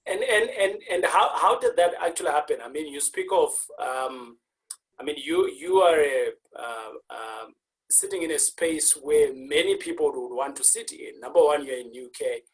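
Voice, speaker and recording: A man's voice: on the high side, expressive, normal speed, rough recording. A man hosting an interview, joking and playful. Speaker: host. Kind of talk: casual interview.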